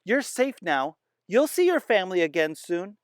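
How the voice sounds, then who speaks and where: A reader voicing a kind young girl in a read-aloud storybook, coaxing in a raised, high voice. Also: big pitch swings, moderate pace, clear, resonant tone, no room sound, clean studio sound, natural voice. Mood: urgent. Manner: bright, raised, urgent coaxing. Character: caring little girl, eager and kind.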